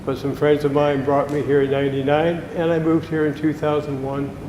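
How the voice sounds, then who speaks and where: A man in his seventies introducing himself at a meeting in a relaxed, plain-spoken way. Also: a little high, brisk, slight background noise. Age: 70s. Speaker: marketing consultant and photographer. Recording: echoey room with long reverb.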